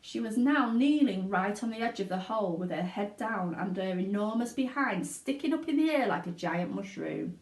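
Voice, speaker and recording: Animated woman storyteller in an echoey room. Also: medium pitch, moderate pace, few pauses. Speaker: teaching assistant reading aloud. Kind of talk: expressive children's storybook narration.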